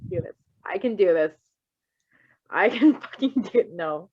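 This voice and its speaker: A woman reading fan fiction aloud in a casual, giggly, expressive way, breaking into chatty asides. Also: medium pitch, a little slow, slightly rough, lo-fi sound. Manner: giggly, expressive, exclaiming and swearing. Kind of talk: giggly live read-aloud with casual chat.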